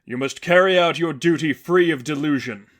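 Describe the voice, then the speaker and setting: A stern male zealot who leads a cult, voiced by a story narrator. He speaks with solemn, deliberate authority, a little raised and forceful, as a man used to being obeyed. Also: on the high side, pitched above the performer's own voice, natural voice.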